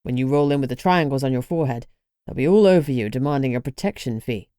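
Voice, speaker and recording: A gruff, stern male vampire , as a woman reading the story puts him on. The voice is pushed very low and sounds blunt and matter-of-fact. It is recorded close and dry, with no room around it. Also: quick pace, warm tone, no echo.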